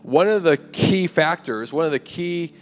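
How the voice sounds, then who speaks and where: Raspy male preacher in a hall. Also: on the high side, animated, unhurried. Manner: conversational preaching with rhetorical "right?" and "like". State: hoarse, clearing throat. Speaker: campus ministry preacher. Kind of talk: sermon. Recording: live hall recording, long reverb, faint music.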